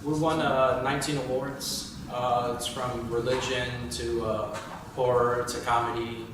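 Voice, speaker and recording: A man's voice: medium pitch, little pitch movement, unhurried. A man talking casually as a screenwriter answering questions at a panel. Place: indoor room at a table read event. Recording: distant, very echoey, noisy room.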